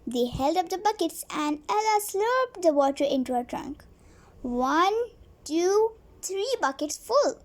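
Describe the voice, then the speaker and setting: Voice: very high voice, animated, measured pace. A child reading a storybook aloud, stumbling over words and repeating phrases now and then.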